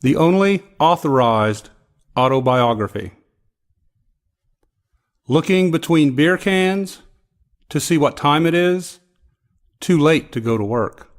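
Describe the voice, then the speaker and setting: A man's voice: on the high side, lively intonation, takes it slowly, long pauses. A man reading poetry aloud in a recitation style. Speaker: poetry reader.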